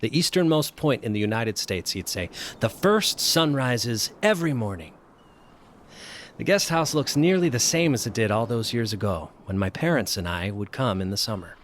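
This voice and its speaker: An actor playing a fearful, solitary male professor who narrates an audio drama in a grave, hushed, deliberate way. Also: medium pitch, lively intonation, a little hiss or hum, natural voice. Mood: wistful, reflective. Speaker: narrator and lead character.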